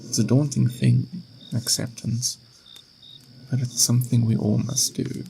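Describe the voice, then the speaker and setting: A man playing a patient, gentle, mysterious ageless being in an ASMR roleplay, speaking in an unhurried, philosophical, reassuring way with a gravelly, creaky voice. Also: medium pitch, little pitch movement, a little hiss or hum, low-quality recording. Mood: gentle, wistful. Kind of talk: ASMR roleplay, slow intimate monologue.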